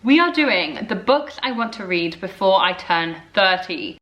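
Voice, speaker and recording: A woman's voice: mid-range voice, animated, measured pace, noisy background. A woman in her twenties chatting casually to camera as a book vlogger, rambling with lots of fillers. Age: 20s. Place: indoors at home, in front of bookshelves. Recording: echoey room.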